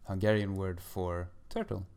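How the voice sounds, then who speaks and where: A man's voice: deep voice, little pitch movement, a little slow, darkish tone, smooth. A man in his twenties from Sweden, chatting casually as a podcast guest with dry, self-mocking irony. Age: 20s.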